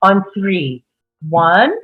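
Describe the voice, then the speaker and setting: A woman's voice: mid-range voice, animated, very slow. A woman playing a young doctor in a delivery room at a script read, on a slightly dull microphone. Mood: coaxing. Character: young doctor delivering a baby, comforting, urgent. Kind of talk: dramatic table read.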